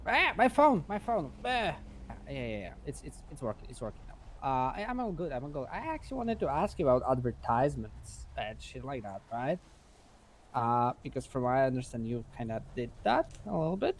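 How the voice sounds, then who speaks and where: Lively man with swooping pitch, repeating himself. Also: brisk. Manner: repeats words and phrases. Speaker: role-play game player. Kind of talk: casual in-character chat.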